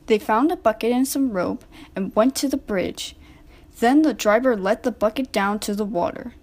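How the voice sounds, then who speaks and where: A woman's voice: fairly high pitch, normal speed, a little mellow. A woman reading a scripted children's story as narrator.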